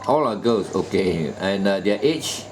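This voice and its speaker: A man's voice: deep voice, animated, measured pace, rough recording. A man conducting a job interview, probing with questions and repeating the answers back, often trailing off with "ah" and "lah". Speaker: recruitment interviewer.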